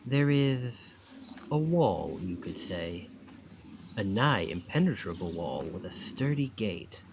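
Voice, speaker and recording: A man's voice: on the low side, sing-song, takes it slowly, background noise, full character voice. A man playing a charming young college student who is secretly a possessive, otherworldly captor, in an intimate, coaxing ASMR roleplay. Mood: insistent, eerie.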